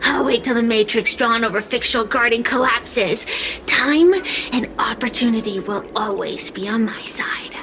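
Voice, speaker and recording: A woman's voice: on the high side, normal speed, fairly bright tone, a little hiss or hum, natural voice. A woman voicing an ancient, malevolent flame spirit in a young girl's body, theatrical, defiant and taunting, for a video game. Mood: smug, menacing. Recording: music under the voice.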